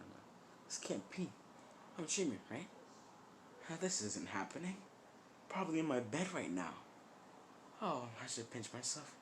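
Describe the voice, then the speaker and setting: A man's voice: fairly low pitch, background noise, lightly acted. A man voicing an emotional, headstrong young male ninja trainee in an amateur story, pleading and exclaiming.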